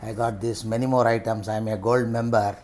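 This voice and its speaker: A middle-aged man with an Indian accent giving unscripted unboxing commentary as a vlogger, in halting, piecemeal phrases. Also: lowish voice, flat delivery, darkish tone. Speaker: vlogger reviewing rider gear.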